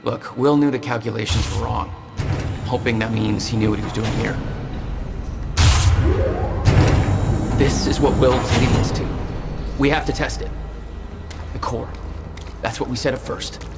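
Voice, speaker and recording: A man's voice: medium pitch, fairly even intonation, normal speed, creaky, lightly acted. Game hero, played by a male actor: impulsive, determined American man, mid-range voice, long reverb, music behind. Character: impulsive, determined young man, hero of time-travel thriller. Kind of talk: naturalistic video game acting.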